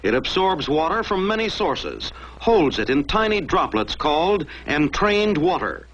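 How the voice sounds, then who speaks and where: A man's voice: a little high, sing-song, measured pace, a bit bright, slight background noise. An American man narrating a mid-century military training film from a script in a dramatic, emphatic manner. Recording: dull lo-fi old film soundtrack.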